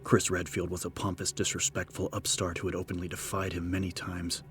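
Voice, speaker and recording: A man with a very deep voice narrates horror fiction. His delivery is grave, measured and level in pitch. Music plays clearly behind him. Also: completely flat delivery. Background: prominent background music.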